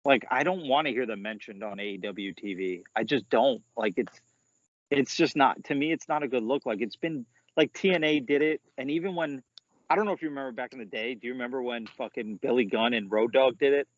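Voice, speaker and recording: A man's voice: mid-range voice, a little fast, no room sound, lo-fi sound. A man co-hosting a casual wrestling podcast, talking loosely, swearing and filling with "like.". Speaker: podcast co-host.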